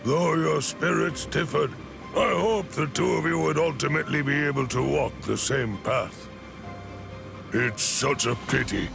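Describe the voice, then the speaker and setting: Stern old sword master, heavy rasping creak, formal and imposing, anime game drama, music underneath. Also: male voice, on the high side, measured pace. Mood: solemn regret. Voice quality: gravelly, creaky. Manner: commanding, formal, severe. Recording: orchestral game music behind the voice.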